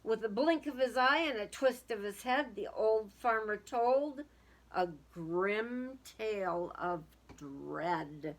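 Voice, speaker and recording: A woman's voice: on the high side, expressive, slow pace. A woman reading a picture book aloud to young children, dramatic in the story and casual in asides that put questions to the listeners.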